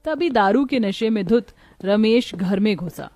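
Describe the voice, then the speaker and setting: A woman's voice: medium pitch, animated, measured pace, darkish tone, no room sound, studio quality. A woman narrating a scripted moral story as a storyteller.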